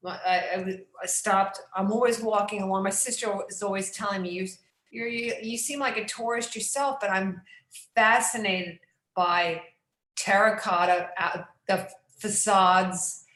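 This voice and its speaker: A New York-born woman at a middle pitch leads the questions after a lecture. She is effusive and rambling, often breaking off to start over, and her voice carries a roomy echo. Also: steady tone, a little slow, a bit bright. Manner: effusive, rambling, restarts mid-sentence. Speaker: executive director hosting the Q&A. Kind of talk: casual Q&A questions.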